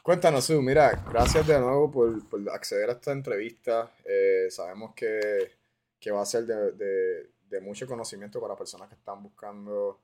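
A man's voice: on the low side, normal speed, a little mellow, slight background noise. A man with a Puerto Rican accent hosting a casual podcast interview, informal and dropping English words into his Spanish. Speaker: podcast host.

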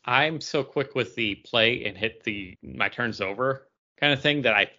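A man's voice: medium pitch, measured pace, sharp tone, lo-fi sound. A man co-hosting a podcast in casual, rambling, self-deprecating chat. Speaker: podcast co-host.

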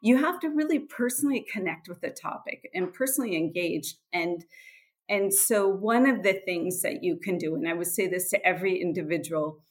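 A woman's voice: fairly low pitch, expressive, normal speed. A middle-aged woman with an American accent, answering interview questions in an earnest, explanatory way. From Switzerland and USA. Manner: earnest, explanatory, occasional laughs. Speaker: guest, nonprofit executive director.

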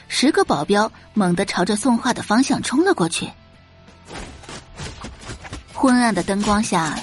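A woman reading scripted, dramatic audiobook narration as the narrator of a story. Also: mid-range voice.

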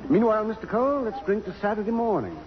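An actor playing a wry, resigned physics professor in a radio drama, with hesitant pauses and a dry, mannered delivery. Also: male voice, sits high, very animated, noisy background. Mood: genial. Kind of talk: 1950s radio drama acting. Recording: dull old radio broadcast, music.

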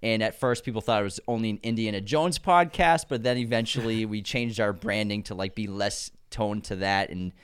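A man's voice: lowish voice, moderate pace, few pauses, clean tone. A man with a nasal voice from the United States, chatting energetically as a guest on a podcast. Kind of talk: casual chat.

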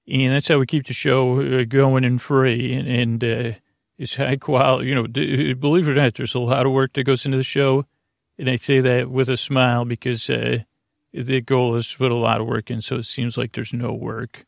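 A man hosting a sleep podcast, rambling in a halting, meandering way that circles back and trails off, with a creaky voice. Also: medium pitch, little pitch movement, no room sound, studio quality. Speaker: podcast host.

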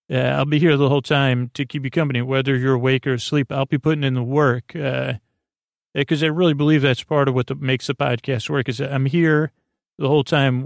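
A man with a gruffish, creaky voice hosting a bedtime podcast in a halting, goofy, meandering monologue that often trails off mid-thought. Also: medium pitch, no room sound, clean studio sound. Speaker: host of a bedtime sleep podcast. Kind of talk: rambling, meandering monologue to lull listeners to sleep.

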